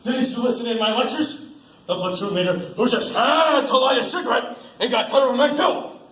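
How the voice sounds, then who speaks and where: Man playing a high-voiced, barking sergeant major. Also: a little fast, a bit bright. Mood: stern, scolding. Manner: barking, scolding, interrogating. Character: stern army sergeant major berating a young private. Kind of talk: amateur stage acting. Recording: echoey school stage recording.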